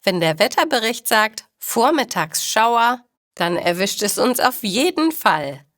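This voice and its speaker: A woman voicing a cheerful, practical female bakery owner in a storybook, with animated, emphatic delivery. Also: on the high side, a little slow, no echo, studio quality. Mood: amused.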